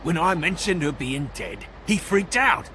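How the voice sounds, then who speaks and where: An actor playing a cagey, wisecracking hired investigator in a noir video game, with a slangy, streetwise manner. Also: male voice, fairly high pitch, very animated, a little slow, noisy background. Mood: defensive, agitated.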